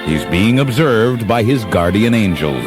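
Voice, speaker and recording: A man playing a measured storyteller, narrating a wistful Christmas radio play. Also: on the low side, very animated. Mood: solemn. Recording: music under the voice. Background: music.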